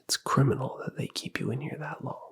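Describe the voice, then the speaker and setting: An ASMR performer speaking in a breathy whisper, soothing and flattering in a personal-attention roleplay. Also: mid-range voice, brisk. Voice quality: breathy, mostly unvoiced whisper.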